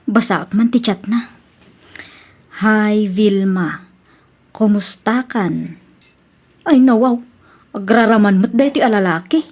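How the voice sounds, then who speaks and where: A woman's voice: mid-range voice, slow pace, long pauses, darkish tone. A woman playing an earnest, easily exasperated young engaged woman in an Ilocano Filipino radio comedy drama. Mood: annoyed.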